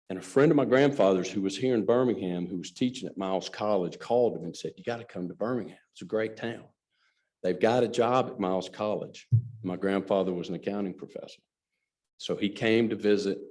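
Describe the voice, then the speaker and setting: A man's voice: deep voice, darkish tone. A middle-aged man giving an earnest luncheon speech as a company president. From Alabama, USA. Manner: earnest storytelling, addresses audience directly. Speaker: guest speaker, company president.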